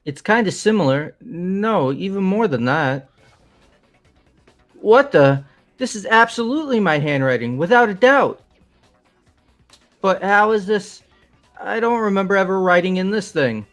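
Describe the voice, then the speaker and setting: A man playing an excitable, bewildered young man in a fan-voiced game. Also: sits high, measured pace, pauses often, soft background music. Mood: shocked denial. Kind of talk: fan dub of a visual novel game.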